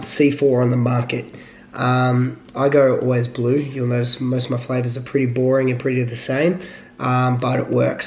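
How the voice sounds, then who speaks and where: A man with a slightly hoarse voice, talking casually to the camera as a fitness vlogger, rambling with lots of fillers. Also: mid-range voice, echoey room, a little hiss or hum. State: hoarse from illness.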